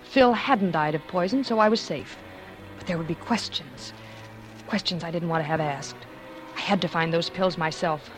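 A woman's voice: on the low side, moderate pace, close to an everyday voice. A cold-blooded, scheming wife played by a woman in an old 1940s radio thriller. She confesses with theatrical swings between sweetness and menace. Music runs behind the vintage recording. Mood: anxious, searching. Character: scheming, cold-blooded former actress poisoning her husband. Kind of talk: 1940s radio suspense acting, first-person confession.

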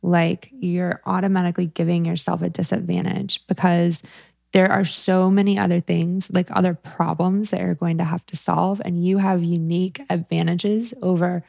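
A woman's voice: on the low side, level tone, normal speed, vocal fry, darkish tone, no echo, studio quality. A young woman founder telling her story in a casual interview, candid and chatty with frequent fillers. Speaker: guest, company founder.

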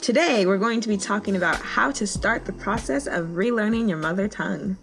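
A woman's voice: medium pitch, brisk, gravelly, music in the background. A young woman with a mid-range voice co-hosts a casual talk video. She speaks in an upbeat, easygoing way and uses everyday slang. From United States. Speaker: co-host.

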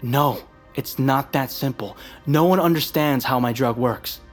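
Actor as wary male researcher, reluctant, mid-pitched voice, natural drama delivery, faint music. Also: measured pace, natural voice. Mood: guarded, refusing. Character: guarded, reluctant man, a drug researcher. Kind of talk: naturalistic audio drama acting.

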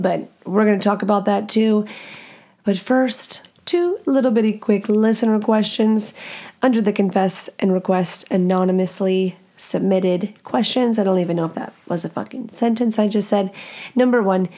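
A woman with a deep, nasal voice hosting a podcast in a blunt, profane, self-mocking casual monologue. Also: a little slow, dark tone. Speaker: podcast host.

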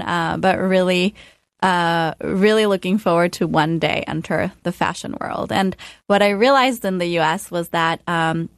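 A woman's voice: on the low side, no room sound, studio quality. A woman from Ecuador, a company co-founder, telling her story in an interview, thoughtful with many ums and uhs.

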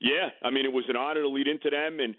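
A man's voice: mid-range voice, fairly even intonation, racing, no echo. A male radio host reminiscing on a call, rambling with lots of fillers. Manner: rambling with many fillers and restarts. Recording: tinny phone line.

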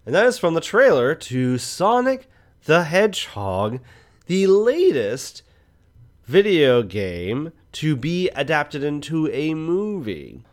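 A man presenting a film review podcast in a measured, explanatory way, partly from notes. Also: a little high, big pitch swings. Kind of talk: semi-scripted podcast presenting.

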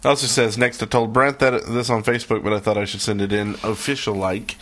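A man's voice: fairly low pitch, little pitch movement, brisk, a bit bright. A man co-hosting a podcast, reading listener emails aloud in a loose, off-the-cuff way. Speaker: podcast co-host.

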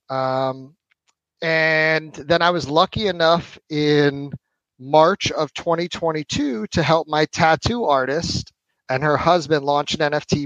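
A man in his forties, a podcast guest, telling his story in a relaxed, casual way with lots of fillers. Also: fairly high pitch, no room sound, poor audio. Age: 40s. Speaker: podcast guest, marketing executive. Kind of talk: casual interview chat.

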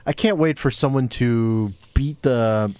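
A man's voice: medium pitch, a little slow, darkish tone, clean tone, dry recording. A man co-hosting a casual wrestling chat, throwing in sarcastic quips and short reactions. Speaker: podcast co-host.

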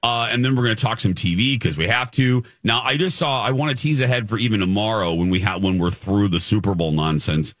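A man's voice: fairly low pitch, a little fast, few pauses, a bit bright, dry recording, clean studio sound. An American man hosting a morning radio show with casual, playful, chatty banter.

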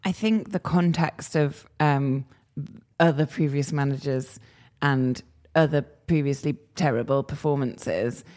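A woman's voice: sits low, unhurried, darkish tone. A woman on a football podcast, speaking hesitantly with frequent ums. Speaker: podcast panelist.

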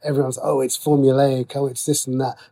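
A man's voice: medium pitch, flat delivery, fairly quick, dark tone. A middle-aged man speaking casually as an interview guest, explaining things in a chatty, rambling way. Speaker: writer and radio drama creator, interview guest.

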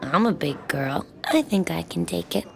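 An actress playing a forthright small-town diner waitress in an audio drama, wry, folksy and warm-hearted. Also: female voice, lowish voice, animated, normal speed, gravelly. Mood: coaxing. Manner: teasing, folksy, direct. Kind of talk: naturalistic audio drama dialogue.

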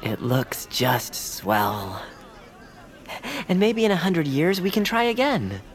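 An actor playing a gentle, earnest, wholesome young best friend in a family audio drama. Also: male voice, a little high, very animated, takes it slowly, some chatter behind, a little hiss or hum. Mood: fond. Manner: gentle, earnest, old-fashioned wholesome phrasing. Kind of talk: audio fiction character acting.

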